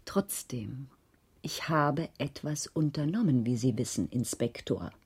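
An actress playing a pensive, insistent woman in a German radio crime drama, measured and probing in her brief replies. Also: rumbling low, darkish tone, husky, exaggerated voice. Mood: reflective, uneasy. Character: pensive, insistent woman puzzling over a child's drawings.

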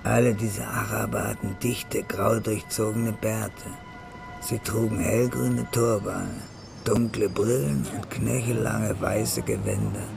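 A man's voice: on the low side, steady tone, slow, creaky. A man delivering scripted narration for a hardboiled German radio crime drama. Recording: loud music behind narration.

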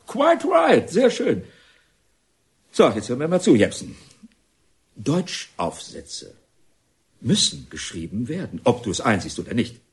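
Pompous institution director, declamatory and stern, highly animated pitch, radio drama. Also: male voice, a little slow, long pauses. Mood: lecturing, stern. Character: pompous reformatory director, stern yet smugly patient. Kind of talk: German radio play acting.

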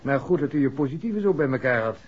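Casting: calm, easygoing doctor in a 1960s radio play, mid-range voice, dull old recording. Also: male voice, mid-range voice, quick pace, slightly rough. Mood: reassuring. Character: unruffled, reassuring male doctor. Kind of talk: 1960s Dutch radio drama acting.

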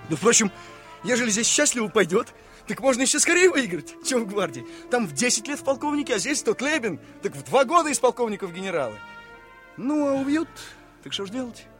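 High-voiced, eager young officer. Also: male voice, sing-song, a little fast, fairly bright tone, full character voice. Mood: earnest, idealistic. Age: young adult. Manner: exclamatory, boyishly chatty, emotive. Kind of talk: theatrical radio drama acting. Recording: radio play with faint music.

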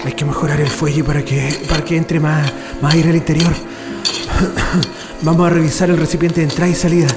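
A man's voice: fairly high pitch, little pitch movement, moderate pace, lightly acted. An actor with a Chilean accent playing a resourceful, cautious male prisoner in a radio drama. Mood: practical, cautious. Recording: echoey long reverb with music behind.

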